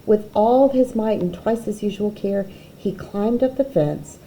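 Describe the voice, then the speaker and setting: A woman reading a children's picture book aloud expressively, with dramatic pauses and emphasis. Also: mid-range voice, dark tone, slight background noise, low-quality recording.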